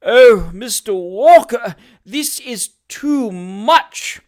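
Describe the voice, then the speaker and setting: A hypocritical young manservant who simpers and weeps on cue, his voice pitched up into a thin, wavering whine. A reader puts him on in a storybook reading. His delivery swoops and wobbles with theatrical feeling. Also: expressive, very slow, full character voice. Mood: gushing. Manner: whining, simpering, gushing. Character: hypocritical sly young manservant, tearful and fawning.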